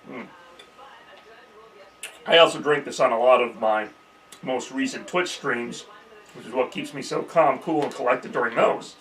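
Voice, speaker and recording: Man, young, mid-pitched, chatty, joking, filler-heavy, live stream, camcorder mic. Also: unhurried, pauses often, low-quality recording. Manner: chatty, joking, thinks out loud with many fillers. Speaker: streamer hosting a live holiday chat. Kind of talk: casual live-stream chat reading viewer comments. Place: home room decorated for Christmas.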